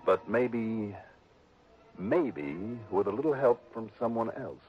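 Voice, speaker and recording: An actor playing a wry, probing investigator who also narrates, in a 1960s radio drama. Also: male voice, on the low side, lively intonation, slow pace, breathy edge, soft background music, full character voice. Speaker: lead and narrator. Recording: dull lo-fi old radio broadcast.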